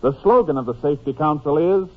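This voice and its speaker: An American man reading a script as a 1950s radio announcer, formal, measured and earnest. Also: a little high, breathy edge. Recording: muffled, narrow-band old radio recording.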